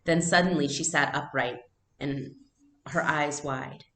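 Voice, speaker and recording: A woman's voice: low voice, steady tone, measured pace, gravelly, a bit bright. A woman reading a story aloud as narrator, in storybook narration style. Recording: echoey room.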